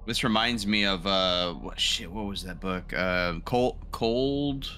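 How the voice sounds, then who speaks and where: Male player, lowish forceful voice, casual and halting, light noise behind. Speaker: roleplaying game player. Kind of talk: casual table chat.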